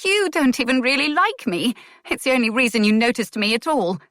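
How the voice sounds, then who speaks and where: A woman voicing a proud young society lady, speaking up higher with an indignant, pleading edge. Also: lively intonation, brisk, fairly bright tone, no echo, clean studio sound, natural voice.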